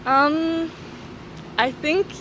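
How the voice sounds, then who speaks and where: A young woman from Austria with a high, light voice, talking in a halting way with plenty of fillers and easy laughter. She is interviewed outdoors on a city street, and loud traffic rumbles behind her. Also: poor audio. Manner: hesitant, many fillers, laughs easily. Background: traffic and passing vehicles.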